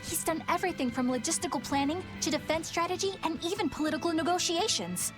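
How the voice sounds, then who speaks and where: An actress playing an earnest, innocent and polite young boy in a video game, with music clearly underneath. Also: extremely high pitch, animated, fast talker. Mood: earnest, admiring. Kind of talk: English video game dub acting.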